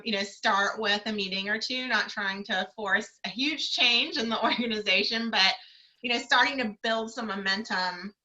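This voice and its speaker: Woman, career coach, very bright voice, chatty moderator, video call audio. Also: mid-range voice, rough recording. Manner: warm encouraging moderator, many fillers.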